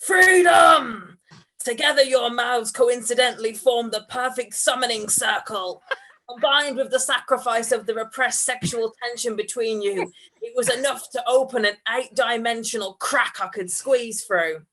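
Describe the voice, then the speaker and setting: A woman's voice: on the high side, normal speed, sharp tone, a little hiss or hum, poor audio. A woman voicing a cruel, ancient horned male demon in a comic story reading, declaiming with malicious glee. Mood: malicious triumph. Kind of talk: comic fan fiction reading.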